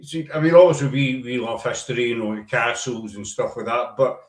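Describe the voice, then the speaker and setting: A man's voice: medium pitch, brisk. Glaswegian man in an echoey room. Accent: Glaswegian Scottish. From Glasgow, Scotland. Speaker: host. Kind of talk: casual live-stream interview. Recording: roomy echo.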